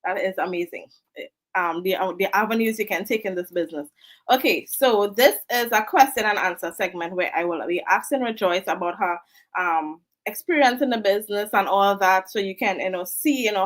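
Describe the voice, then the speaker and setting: A woman hosts an online interview. She is chatty and laughs often as she welcomes her guest and leads the questions. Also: mid-range voice. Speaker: host.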